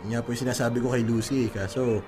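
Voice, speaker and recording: Young Filipino boyfriend for radio drama, played by an actor: fast-talking, coaxing, patient yet exasperated, music underneath. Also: male voice, mid-range voice, steady tone, lightly acted. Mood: light, respectful. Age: young adult.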